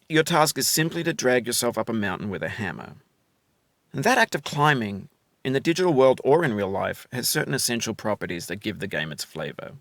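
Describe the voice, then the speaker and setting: A middle-aged man narrates a game in a calm, reflective, gently wry voice. His pitch moves a lot, rising and falling through each phrase as he recites quotes and rhymes. The sound is close and dry, with no room around it. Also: vocal fry, dry recording. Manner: calm, musing, wry; recites quotations and rhyming verse.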